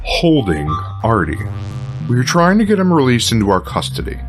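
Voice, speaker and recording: Man playing a wildly animated conspiracy theorist. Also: mid-range voice, normal speed, soft background music. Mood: conspiratorial. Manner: dramatic, self-important. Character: intense, paranoid male conspiracy theorist co-host. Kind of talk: scripted comedy conspiracy segment.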